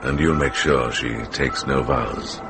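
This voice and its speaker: An actor playing a shrewd, calm, middle-aged medieval monk in a radio drama, thoughtful, wry and deliberate in his speech. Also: very deep voice, music in the background.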